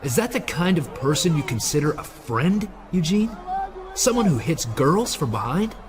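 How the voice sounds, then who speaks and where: An actor playing a cocky, sneering wrestling villain in a video game, with noise behind him. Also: male voice, on the high side, sing-song, normal speed, music in the background. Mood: accusing. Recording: noisy game cutscene audio.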